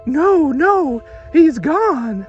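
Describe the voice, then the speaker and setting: Man putting on a high-pitched distraught damsel. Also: sing-song, very slow, highs cut off, faint music behind, exaggerated voice. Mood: distraught, pleading. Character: distraught melodramatic 1940s damsel client, a pleading wife. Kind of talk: 1940s detective radio parody.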